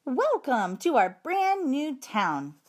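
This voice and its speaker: A woman voicing a kindly but firm female schoolteacher who addresses her class in a deliberate, instructive way. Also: sits high, huge pitch swings, voice pushed up, exaggerated voice. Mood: calling out.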